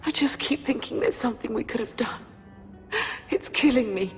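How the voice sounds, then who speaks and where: An actress playing a grieving middle-aged mother in a fantasy video game, speaking in an emotional, halting way. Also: a little high, close to an everyday voice. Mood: anguished. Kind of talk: dramatic video game voice acting. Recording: long reverb, music behind. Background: music.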